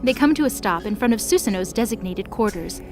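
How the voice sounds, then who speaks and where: A woman's voice: medium pitch, lively intonation, a little fast, music in the background. A woman reading scripted narration for a dramatic mythological story.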